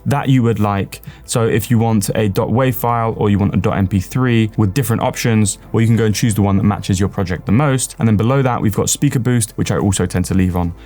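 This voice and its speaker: A man presenting an instructional software walkthrough, explaining step by step and stressing the openings of his phrases. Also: fairly low pitch, brisk, hardly any pauses, faint music behind. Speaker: tutorial presenter.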